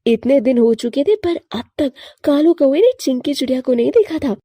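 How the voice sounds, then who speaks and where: A woman reading scripted narration as the storyteller of an animated moral tale. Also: high voice, moderate pace, no room sound, clean studio sound.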